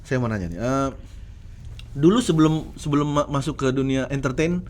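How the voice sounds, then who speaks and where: A man's voice: medium pitch, moderate pace, a little mellow. A young man hosting a casual studio interview, asking playful, joking questions from a sofa. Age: young adult. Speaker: host.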